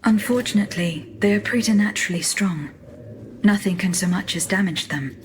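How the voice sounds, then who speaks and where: A woman voicing a courteous, coolly determined automaton in a video game, speaking in formal, measured lines. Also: mid-range voice, a little hiss or hum, natural voice. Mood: composed, factual. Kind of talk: scripted video game cutscene dialogue.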